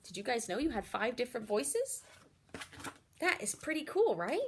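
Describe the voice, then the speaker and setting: Animated woman reading a picture book aloud. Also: on the high side, normal speed, a little hiss or hum. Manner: expressive, encouraging, asks listeners questions. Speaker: read-aloud storyteller and teacher. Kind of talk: children's picture book read-aloud with asides.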